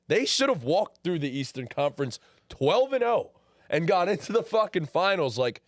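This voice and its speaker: A man's voice: high voice, sing-song, normal speed, dry recording, clean studio sound. A young man co-hosting a podcast, talking basketball casually with lots of slang and "bro". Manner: slangy, says "bro" a lot. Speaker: podcast co-host. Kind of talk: casual sports chat.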